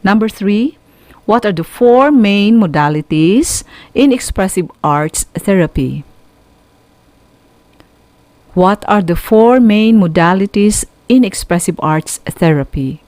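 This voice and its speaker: A woman with a Filipino accent giving an instructional radio lesson in a deliberate, teacherly way. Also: medium pitch, lively intonation, darkish tone, no room sound.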